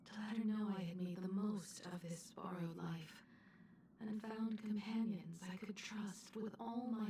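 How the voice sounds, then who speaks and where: An actress playing an earnest, gentle young woman in a video game, recounting legends in a measured, sincere way. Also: mid-range voice, far from the mic, slight background noise, natural voice. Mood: tender, sincere. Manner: measured, sincere, story-telling delivery. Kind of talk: scripted video game dialogue.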